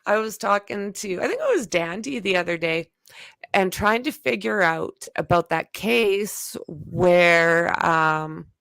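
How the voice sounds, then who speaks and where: A woman co-hosting a casual talk show, agreeable and quick to laugh. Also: fairly low pitch, animated, vocal fry, a bit bright, no echo. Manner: unhurried, agreeable, laughs often. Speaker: co-host. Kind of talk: casual chat.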